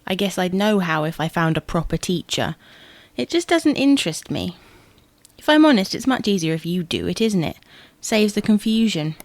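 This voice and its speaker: A woman playing a fretful, stubborn, old-fashioned wife in an audio drama. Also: mid-range voice. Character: fretful, stubborn technophobe wife, wistful and suspicious.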